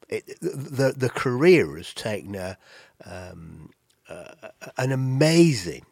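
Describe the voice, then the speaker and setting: A man's voice: lowish voice, dry recording, studio quality. A man with a cold and a nasal voice, chatting casually about music as a podcast co-host, rambling with restarts. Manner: rambling, with many restarts and fillers.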